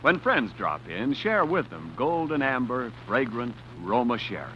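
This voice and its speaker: Man, vintage radio announcer, smooth sales pitch, lively pitch, muffled old broadcast. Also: a little slow, slight background noise. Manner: polished, salesman-like. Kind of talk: 1940s radio sponsor announcement.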